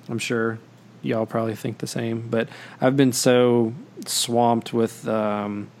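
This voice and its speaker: A man hosting a podcast in casual chat, rambling with many fillers and restarts. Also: mid-range voice. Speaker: podcast host.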